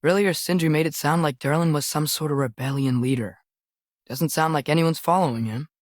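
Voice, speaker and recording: A man's voice: fairly high pitch, a little fast, no room sound, clean studio sound, close to an everyday voice. Young man playing earnest, curious teenage boy adventurer. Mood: tentative, curious. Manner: tentative, eager, questioning. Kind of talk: naturalistic video game voice acting.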